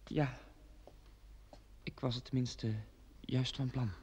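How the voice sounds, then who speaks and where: Man acting a slow, melodramatic sweetheart. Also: medium pitch, little pitch movement, darkish tone, a little hiss or hum, lightly acted. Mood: solemn, resigned. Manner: halting, theatrical. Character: melodramatic male sweetheart, timid yet nobly self-sacrificing. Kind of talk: Dutch radio play acting.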